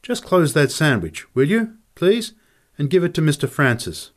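Man voicing a courteous, formal companion, pitched up. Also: sing-song, moderate pace. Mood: polite, attentive. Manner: polite, formal, deferential. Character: courteous, soft-spoken male companion of a prizefighter.

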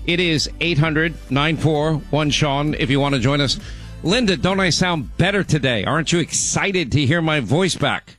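A man's voice: on the high side, normal speed, fairly bright tone, faint music behind. A middle-aged man hosting a talk radio show in a chatty, rambling way, his voice still recovering from laryngitis. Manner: chatty, rambling, frequent restarts. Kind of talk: casual talk radio monologue.

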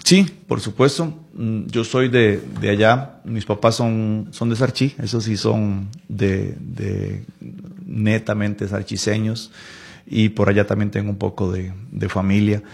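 A Costa Rican man in his late forties with a lowish, creaky and husky voice. He talks casually as an interview guest, reflecting as he goes, restarting phrases and checking in with little tag questions. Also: fairly even intonation, darkish tone. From Costa Rica, Palmares in the western Central Valley. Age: late 40s. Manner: halting, reflective, repeats words and seeks agreement with tags. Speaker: interview guest, writer and designer.

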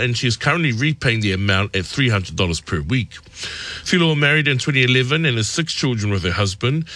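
A man reading a radio news bulletin in a measured way and chatting as the show's producer. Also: medium pitch, pushed and bright, no room sound. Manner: measured news delivery, friendly banter. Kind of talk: radio news bulletin and casual studio chat. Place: radio studio.

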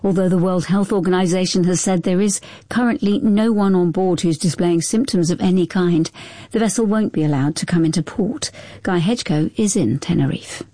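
A woman newsreader with a mellow, lowish voice, recorded dry and close. She reads the bulletin crisply and evenly. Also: normal speed, no echo. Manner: crisp, even bulletin reading. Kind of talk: scripted news bulletin.

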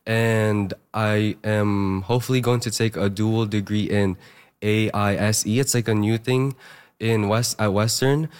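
A hoarse young man, a fresh high school graduate, talking earnestly with many fillers as a radio interview guest. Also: steady tone, a little slow. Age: young adult.

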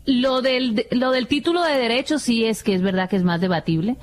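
A woman's voice: fairly high pitch, a little fast, a little hiss or hum. A woman chatting informally and frankly as a radio panelist, dropping bits of English into her talk. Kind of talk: casual radio chat.

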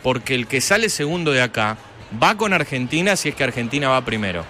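A man giving live football commentary on the radio, animated and building emphasis, stretching his words when he calls a goal. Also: medium pitch, a little fast, faint music behind.

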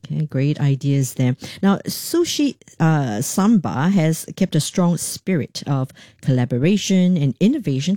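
Mellow-voiced woman asking interview questions. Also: low voice, no room sound, studio quality.

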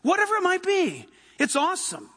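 A middle-aged male preacher with a very high-pitched, forceful voice. He delivers a sermon conversationally but emphatically, dropping into asides and rising to exhort. His words ring with long reverb in a large hall. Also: huge pitch swings, a little fast.

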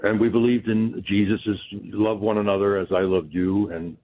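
A male caller with a fairly low voice, heard over a tinny phone line. He talks earnestly, pausing with fillers as he makes his point. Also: little pitch movement. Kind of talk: call-in on a talk show.

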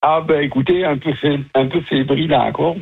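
Husky older man on a phone line. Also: a little high, fairly even intonation, normal speed. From southern France. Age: 70s. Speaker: retired chef, interview guest. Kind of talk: casual interview answers. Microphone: telephone. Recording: muffled, narrow-band phone line.

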